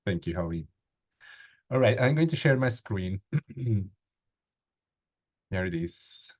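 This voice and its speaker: A man in his thirties moderates an online meeting over his webcam, speaking slowly in a somewhat low voice. He pauses often with fillers. The audio is dull and lo-fi. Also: animated, darkish tone. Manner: hesitant, frequent fillers. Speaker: meeting host. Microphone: video call webcam.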